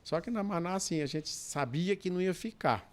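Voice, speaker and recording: A man's voice: sits high, brisk. A Brazilian man, a podcast guest who tells his work history in loose, rambling sentences full of restarts and asides. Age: 60s. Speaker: podcast guest, businessman and dispatcher. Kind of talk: relaxed storytelling in a chat.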